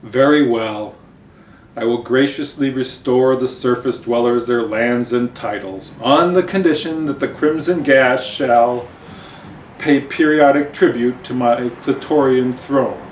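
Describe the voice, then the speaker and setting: A man's voice: mid-range voice, a little slow, lightly acted. Man acting haughty undersea queen, roomy sound. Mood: regal, gracious. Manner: regal, formal, emphatic. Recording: roomy, distant mic, faint noise.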